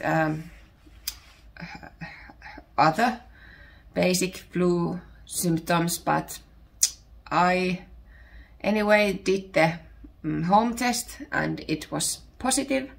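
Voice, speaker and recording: A woman in her thirties from Finland, with a Finnish accent, chatting casually as a podcast host while her voice recovers from illness, pausing to search for words. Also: on the low side, creaky. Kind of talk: casual chat. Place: home.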